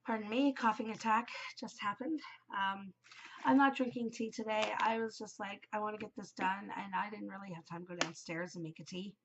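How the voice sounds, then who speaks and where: A woman's voice: mid-range voice, fairly even intonation, brisk, a crowd in the background. Stuffy-nosed lady rambling on her knitting podcast, lots of ums, kinda muffled lo-fi sound. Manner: rambling, many ums, chatty. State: congested, voice shot from a cold. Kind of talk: casual solo chat.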